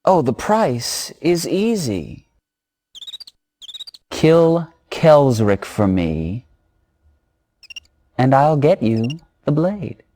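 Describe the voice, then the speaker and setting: An actor playing a sly, insinuating trader with courtly, measured speech in a fantasy video game. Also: male voice, fairly high pitch, sing-song, dark tone, exaggerated voice. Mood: coldly scheming. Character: sly, smooth-talking shady trader with a cold grudge. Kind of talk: video game cutscene acting.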